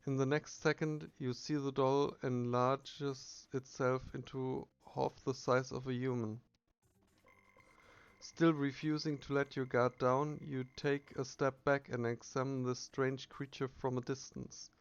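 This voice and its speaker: A man narrating a video game playthrough, reading the text aloud with casual asides and halting self-corrections. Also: mid-range voice, flat delivery, clear, resonant tone, dry recording, highs cut off.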